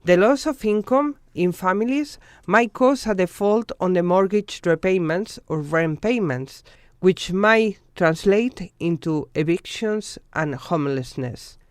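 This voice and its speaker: A documentary narrator reading a scripted news report in a measured, formal way from a studio voice-over. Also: medium pitch, dry recording.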